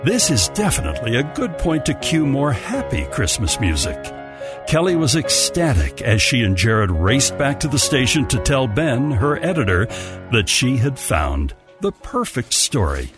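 Male radio drama narrator, medium register, animated delivery, prominent music bed. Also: mid-range voice, normal speed. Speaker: narrator and announcer. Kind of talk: scripted radio story narration.

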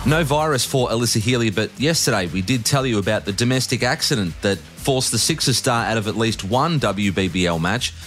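A man's voice: on the low side, expressive, brisk, few pauses, fairly bright tone. Sports news host, male, Australian, scripted narration, light music bed underneath.

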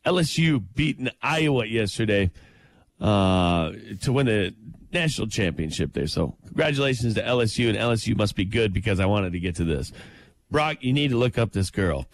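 A man co-hosting a morning radio show, talking sports in an emphatic, excited way and laughing easily. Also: fairly low pitch, moderate pace, no room sound.

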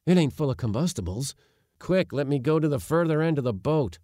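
Man as a boyish, incredulous nephew, raised pitch. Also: big pitch swings, moderate pace, no room sound, clean studio sound, lightly acted. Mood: alarmed. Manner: exclamatory, incredulous, complaining. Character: boyish, disdainful, easily alarmed young nephew.

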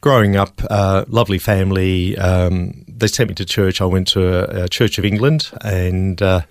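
An older man with an Australian accent, a scientist guest telling his personal story in an earnest, steady way with some restarts. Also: sits low, normal speed, no room sound, clean studio sound. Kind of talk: personal testimony in conversation.

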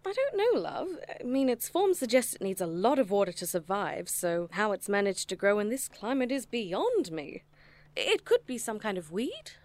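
A woman's voice: mid-range voice, big pitch swings, moderate pace, no echo. An actress playing an affectionate, playfully teasing woman botanist in an audio drama, dryly amused and fond of endearments. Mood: thoughtful, dry. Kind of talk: naturalistic audio drama dialogue.

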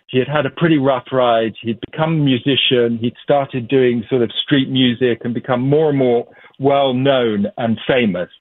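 A man's voice: medium pitch, no echo. A man, a documentary director who grew up in Uganda, telling his story in loose, chatty interview answers. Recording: tinny phone line.

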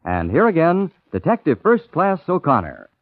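Animated higher-pitched vintage male radio announcer. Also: normal speed. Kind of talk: 1940s radio announcing, scripted. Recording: tinny 1940s radio recording, music.

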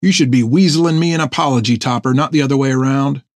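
A man voicing a gruff, earnest sailor sergeant in an audiobook, talking in folksy seafaring dialect to old mates. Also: medium pitch, fast, no echo, studio quality, close to an everyday voice. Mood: exasperated. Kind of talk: audiobook character dialogue.

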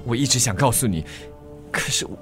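A man's voice: mid-range voice, lively intonation, brisk, natural voice. Man acting anxious lover, earnest, emotional, widely swinging voice, radio drama, music underneath. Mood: guilty, earnest. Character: anxious, guilt-ridden man pleading with the woman he loves.

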